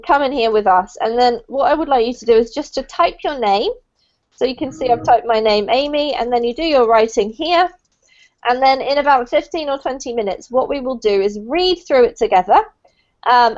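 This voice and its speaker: Upbeat high-voiced woman teaching online. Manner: bright, encouraging, upbeat. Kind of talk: friendly online class talk. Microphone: computer mic on a video call. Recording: dull lo-fi call audio.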